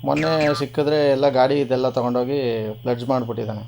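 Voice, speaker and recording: A man's voice: medium pitch, fairly even intonation, racing. A man making a radio prank call as a confused customer, argumentative and persistently questioning. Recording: clearly noisy radio prank call recording.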